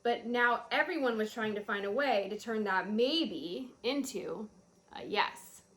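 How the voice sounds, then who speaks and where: Higher-voiced woman reading a children's storybook. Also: measured pace, a bit bright. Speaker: author reading own picture book aloud. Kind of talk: expressive children's storybook read-aloud.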